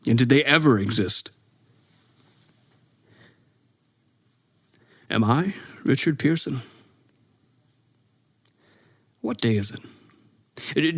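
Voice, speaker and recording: Man acting a haunted, halting professor, close and dry. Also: on the high side, fairly bright tone, no echo. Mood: bereft, halting. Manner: halting, brooding, stammering over words. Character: haunted, exhausted male astronomy professor surviving an alien invasion. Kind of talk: dramatic radio play monologue.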